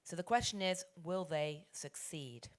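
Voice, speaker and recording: Brisk low-voiced British woman moderator. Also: expressive. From Britain. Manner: brisk, articulate, with frequent ums. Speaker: panel moderator. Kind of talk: moderating a panel discussion.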